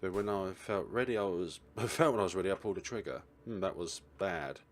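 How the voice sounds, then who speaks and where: A man's voice: low voice, fairly quick, a little hiss or hum. A man hosting a let's play, mixing casual, wry commentary with reading the game text aloud.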